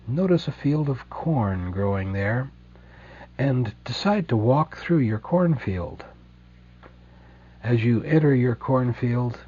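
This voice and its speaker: A man leading a guided meditation in a slow, soothing, gentle way, leaving deliberate pauses between phrases. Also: mid-range voice, highs cut off, a little hiss or hum.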